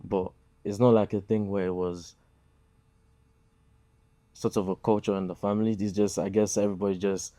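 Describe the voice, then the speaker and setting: A man's voice: lowish voice, little pitch movement, moderate pace, long pauses, warm tone, no room sound. A young man from Nigeria, a musician being interviewed, talking casually and hesitantly with many fillers. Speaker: guest musician.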